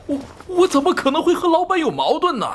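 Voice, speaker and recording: An actor playing a timid, flustered, overworked employee in an animated crime drama, nervous, stammering and pleading. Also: male voice, very high-pitched, quick pace, slight background noise. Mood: anxious, placating.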